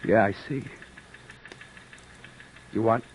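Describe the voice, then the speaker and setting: An actor playing a weary beat cop who narrates and trades dry wisecracks in an old-time radio drama. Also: male voice, fairly low pitch, slow pace, slight background noise, poor audio. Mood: dry, wry. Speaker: narrator and police officer. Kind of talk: 1940s radio acting.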